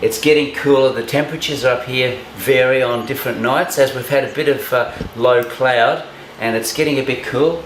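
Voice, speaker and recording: A man's voice: medium pitch, moderate pace, echoey room, slight background noise, poor audio. A man hosting a travel show, chatting in an upbeat, casual way with local slang.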